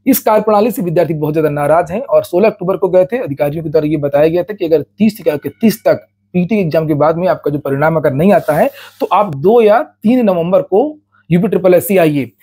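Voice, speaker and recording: A man's voice: a little high, lively intonation, a little fast, warm tone, slightly rough. A man presents recruitment news, reading out notices and explaining them in a matter-of-fact way, often repeating a word as he goes.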